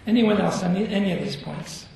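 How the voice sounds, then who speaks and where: Gravelly man leading a Bible study. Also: high voice, moderate pace, noisy background. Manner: asks the group questions, chuckles often. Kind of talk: group Bible study discussion. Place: meeting room at a convention. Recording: echoey room, far from the mic.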